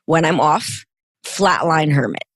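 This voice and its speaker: Raspy, hoarse New York woman. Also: sits low, measured pace, no echo, studio quality. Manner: emphatic, expansive and outgoing. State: hoarse from illness. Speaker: podcast guest. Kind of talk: casual interview chat.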